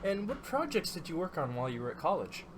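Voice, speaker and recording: Male host, higher voice, animated intonation, noise in the background. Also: quick pace. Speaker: podcast host. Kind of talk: interview hosting. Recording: noisy background.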